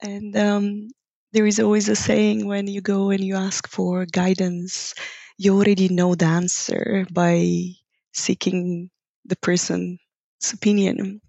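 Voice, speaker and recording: A woman's voice: mid-range voice, completely flat delivery, slow pace, creaky, dark tone, no echo. A woman from Bulgaria, a guest on an interview who speaks thoughtfully, searches for her words and laughs lightly. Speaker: guest, yoga teacher. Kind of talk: reflective interview conversation. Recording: dull, dry lo-fi recording.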